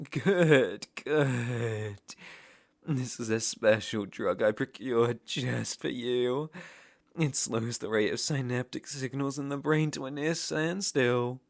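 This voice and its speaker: A British man playing an obsessive, menacing ex-lover in an ASMR roleplay, speaking with deliberate mock tenderness and possessive mockery. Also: medium pitch, vocal fry. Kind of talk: ASMR roleplay audio drama, intimate second-person acting.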